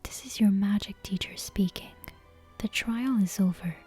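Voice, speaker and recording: Calm whispering witch teacher, read by a woman. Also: mid-range voice, normal speed, soft background music. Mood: flatly official. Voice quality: breathy whisper. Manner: calm, matter-of-fact. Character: calm, blunt witch teacher, female magic mentor.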